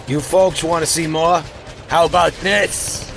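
A man's voice: on the high side, fairly even intonation, unhurried, gravelly, a bit bright, a little hiss or hum. An actor playing a brash, showboating male mob boss in an old-time radio play, taunting and barking orders. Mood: gloating.

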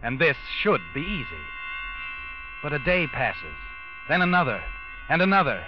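An actor playing a knowing, insinuating narrator in a classic suspense radio drama, speaking dramatically. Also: male voice, a little high, sing-song, moderate pace. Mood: knowing, ominous. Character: knowing, ominous male second-person radio narrator. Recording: dull vintage radio recording. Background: dramatic music.

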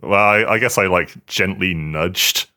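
A man's voice: deep voice, measured pace, pushed and bright. A British man chatting casually on a podcast, hesitant and stammering, with a self-deprecating manner. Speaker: podcast co-host, hardware journalist. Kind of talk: casual chat.

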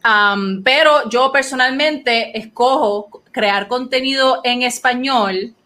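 A woman in her thirties co-hosting a casual podcast. She talks with an animated, emphatic energy, and her voice sits on the high side. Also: slow pace. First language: Spanish. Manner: animated, emphatic, asks questions. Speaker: podcast co-host.

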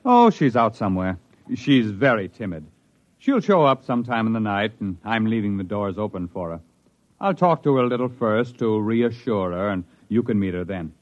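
An actor playing an affable middle-aged marooned man in a 1950s radio drama, talking in a conversational, expressive way. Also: on the low side, normal speed, natural voice. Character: middle-aged marooned male castaway, affable, settled, protective husband. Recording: dull vintage radio recording.

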